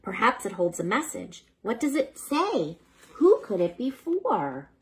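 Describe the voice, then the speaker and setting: A woman reading a children's bedtime story aloud in an unhurried, expressive way, as if speaking to a child, with a slightly husky voice. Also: medium pitch.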